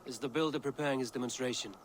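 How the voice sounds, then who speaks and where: A man's voice: mid-range voice, racing, close to an everyday voice. A man voicing a stern brooding god-king. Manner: grave, commanding, suspicious. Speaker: game character. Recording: game audio with steady noise.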